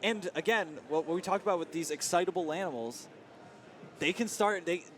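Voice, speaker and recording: A man casting a live esports match at a big venue, excitable and fast with his calls, his voice worn and hoarse from shouting. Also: sits high, a little hiss or hum. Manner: excitable, rapid play-by-play with exclamations. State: losing his voice. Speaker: esports commentator. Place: large tournament venue.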